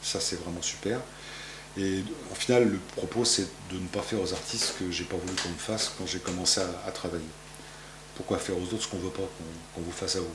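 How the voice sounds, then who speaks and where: Hesitant French painter in echoey gallery. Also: male voice, deep voice, steady tone, brisk, noisy background. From France. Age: 50s. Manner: rambling, hesitant with many fillers and restarts. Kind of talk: interview answers. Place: art gallery, indoors.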